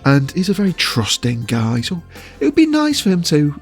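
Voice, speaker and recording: A man's voice: a little high, wildly swooping pitch, moderate pace, soft background music. A man giving casual interview answers as a voice actor, choosing his words with hesitation and often chuckling.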